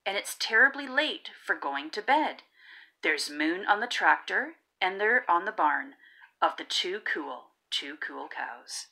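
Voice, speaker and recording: A woman reading a children's picture book aloud in a rhythmic, theatrical way with drawn-out emphasis. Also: on the low side, lively intonation, sharp tone. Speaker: read-aloud narrator of children's picture books. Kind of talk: expressive storybook reading.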